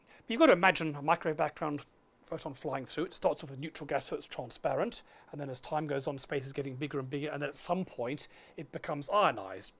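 A middle-aged man giving an informal, explanatory science lecture in a studio. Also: fairly high pitch. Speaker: astronomy lecturer. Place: indoor video studio.

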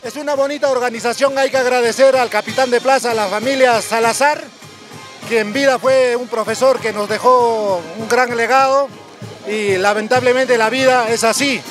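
A man offers informal thanks as a fiesta guest. He is outdoors on a handheld mic, with music clearly heard behind. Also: very high voice, fairly even intonation, moderate pace, a bit bright. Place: outdoors at a crowded lunch table. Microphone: interviewer's handheld microphone. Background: music and outdoor sounds.

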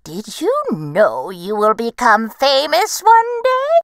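A woman's voice: a little high, huge pitch swings, slow pace, smooth, dry recording, heavily acted. Storybook crow, female fortune-teller played by a woman: grand, swooping delivery, close dry sound. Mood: knowing. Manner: theatrical, knowing, coaxing.

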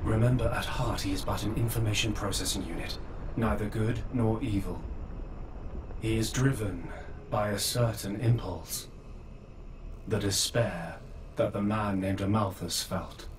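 Man playing a slow, deep, ancient godlike elder. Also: noisy background, close to an everyday voice. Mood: grave. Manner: grave, measured, philosophical. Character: ancient, world-weary godlike old man, solemn and resigned. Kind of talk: dramatic video game cutscene acting. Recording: roomy echo, distant, music behind.

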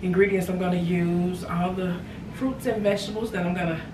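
A woman's voice: fairly low pitch, brisk. A middle-aged woman chatting casually as a lifestyle vlogger, recorded in a home kitchen on a camera microphone. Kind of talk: casual vlog chat. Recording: steady ambient noise behind voice.

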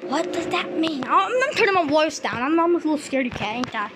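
High-pitched gamer with noisy background. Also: expressive, quick pace. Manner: reacts aloud, repeats words, exclaims. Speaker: gaming video host. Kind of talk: excitable horror game commentary. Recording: clear background noise.

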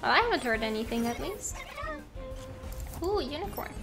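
A young Norwegian woman with a high, light voice, talking to her stream chat from a desk at home. She speaks English as a second language, chatty and quick to laugh, with faint music underneath. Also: sing-song. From Norway. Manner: chatty, laughs often, reads and answers chat. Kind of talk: casual gameplay chat with viewers.

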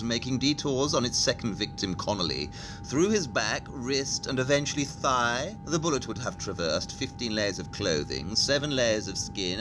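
An actor playing a brilliant, sceptical detective in an audio play, speaking precisely and formally. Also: male voice, medium pitch, lightly acted. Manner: precise, formal, theatrical, measured deduction.

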